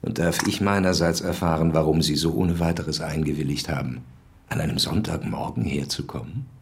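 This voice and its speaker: A man playing a controlled, probing police commissioner in a radio drama, measured, formal and authoritative. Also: a bass voice, vocal fry, a little hiss or hum. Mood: polite, probing. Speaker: lead actor in a crime radio drama.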